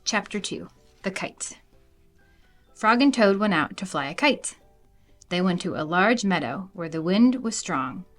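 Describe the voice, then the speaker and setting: A woman reading a children's storybook aloud in an animated narrating style. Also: fairly low pitch, a little slow, pauses often, soft background music. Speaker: storybook reader.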